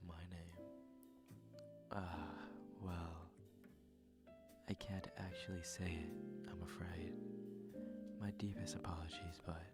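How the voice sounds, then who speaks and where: A man playing a courteous, shy, flustered young prince in an intimate ASMR roleplay, speaking gently and hesitantly in formal phrases, often in a breathy whisper. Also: low-pitched, flat delivery, takes it slowly, long pauses, loud music behind the voice. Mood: polite, apologetic.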